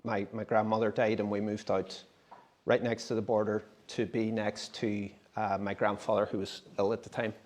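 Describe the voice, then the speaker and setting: A man's voice: on the low side, level tone, normal speed, darkish tone. A man, a writer giving a conversational talk at a symposium. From Derry, Northern Ireland. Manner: conversational, explanatory, occasional restarts. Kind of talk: casual presentation. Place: symposium talk in a gallery space.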